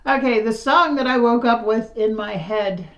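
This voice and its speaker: Raspy-voiced middle-aged woman reading tarot. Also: on the high side, normal speed, a bit bright, dull audio. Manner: frank, rambling and conversational. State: slightly hoarse after illness. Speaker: tarot reader. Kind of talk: casual tarot reading to camera.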